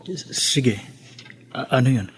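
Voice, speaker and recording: An actor in a radio drama playing a conciliatory young husband and dutiful son, a little hesitant in manner. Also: male voice, medium pitch, animated, slow pace, a little hiss or hum. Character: placating young husband, dutiful son caught between women.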